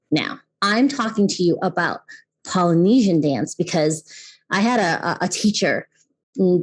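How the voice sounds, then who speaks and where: A woman's voice: on the low side, a little mellow. A woman in her fifties from Taiwan, hosting her podcast and talking in an earnest way that often restarts and repeats words. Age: 50s. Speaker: podcast host. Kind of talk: casual personal talk; scripted intro narration.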